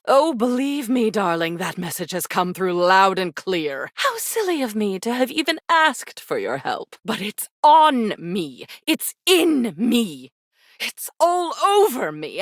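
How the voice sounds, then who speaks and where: A seductive gothic woman from a video game, coy and darkly affectionate. Her voice sits a little low and swoops dramatically through drawn-out, teasing phrases. It is recorded dry and close, with very little room. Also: a bit bright, no room sound, full character voice. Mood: bitter, wounded. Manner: coy, drawling, dramatic, teasing with pet names. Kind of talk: video game character voice acting.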